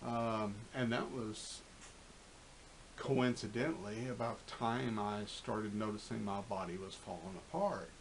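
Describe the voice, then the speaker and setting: A man's voice: lowish voice, steady tone, measured pace, slight background noise, low-quality recording. A man in his fifties talking candidly to camera in a rambling, profane way, full of fillers and restarts. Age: 50s. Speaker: fitness vlogger. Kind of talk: casual monologue to camera.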